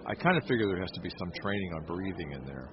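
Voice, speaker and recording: A man's voice: low voice, lively intonation, quick pace, crowd noise. A middle-aged man interviewing a musician, asking long, rambling questions full of compliments. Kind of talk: casual interview talk. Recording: dull lo-fi audio with clear noise.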